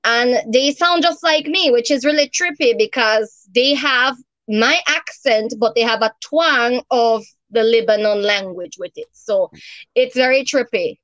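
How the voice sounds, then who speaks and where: A woman from Belize with a Belizean Creole accent, explaining things in a relaxed way on a podcast and laughing often. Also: fairly high pitch, unhurried, dry recording, dull audio. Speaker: guest. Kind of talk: casual podcast conversation.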